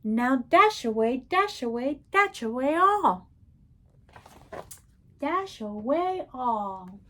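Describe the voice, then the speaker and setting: A jolly old storybook Santa, acted out by a woman reader, calls out in a high, raised voice. He is lively and exclaims his words like commands to a team. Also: takes it slowly, slight background noise, full character voice. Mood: rousing, excited.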